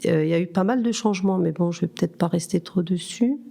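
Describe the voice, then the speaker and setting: A woman in her fifties shares a reflective personal account. Her voice is fairly low and mellow, with a creaky edge. She hesitates often and puts questions to herself before answering them. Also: quick pace. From Turkey. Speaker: podcast guest.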